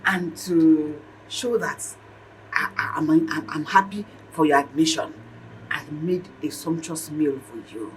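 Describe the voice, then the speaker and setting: A woman's voice: low-pitched, unhurried, low-quality recording. An actress playing a middle-aged, domineering mother in a melodramatic film, speaking in an emphatic, declamatory, repetitive way. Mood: conciliatory, generous. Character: domineering mother and stepmother, fierce then ingratiatingly apologetic. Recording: clear background noise.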